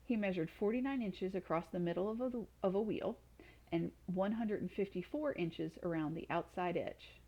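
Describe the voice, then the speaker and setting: A woman's voice: fairly low pitch, moderate pace, a little mellow, highs cut off. A woman storyteller reading expressively to children. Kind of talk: expressive storybook read-aloud.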